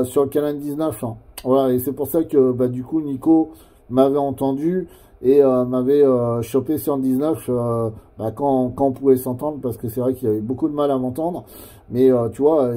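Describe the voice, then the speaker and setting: A man's voice: mid-range voice, warm tone. A man with a French accent chatting casually over amateur radio, explaining things in a rambling, hesitant way. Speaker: amateur CB radio operator who makes videos.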